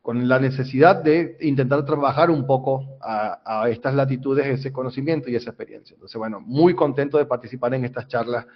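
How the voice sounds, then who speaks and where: A man's voice: mid-range voice, fairly even intonation, highs cut off. A man from Venezuela, a civil engineer explaining his field as an interview guest, with frequent restarts and fillers. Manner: halting, repeats words, many fillers.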